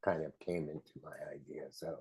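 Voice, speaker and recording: A man's voice: rumbling low, steady tone, a little fast, darkish tone, breathy edge. A man hosting a podcast interview, friendly and a bit rambling, with sentences that trail off. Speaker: podcast host.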